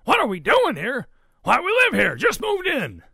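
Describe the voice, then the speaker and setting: A storybook reader voicing a huge, blustery, jovial papa pig with a loud, folksy, exclamatory manner. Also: sits high, wildly swooping pitch, normal speed, gravelly, dry recording, clean studio sound, pitched above the performer's own voice, full character voice. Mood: hearty, booming.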